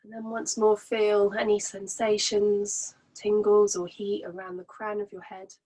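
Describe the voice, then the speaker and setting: A woman guides a meditation at a very slow, unhurried pace. Her voice is soft-edged and breathy, at a medium pitch for a woman. She gives each instruction gently and soothingly, as a relaxation teacher does. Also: level tone, low-quality recording.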